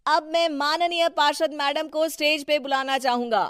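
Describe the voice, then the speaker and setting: A woman voicing a flattering, deferential townsperson in a Hindi cartoon, effusive and obsequious. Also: sits high, moderate pace, no echo, clean studio sound. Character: flattering, deferential townsperson fawning over the local boss.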